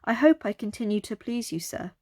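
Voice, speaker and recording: A woman's voice: fairly high pitch, expressive, a little fast, mellow. A woman playing a humble, grateful young widow in a dramatised Bible reading.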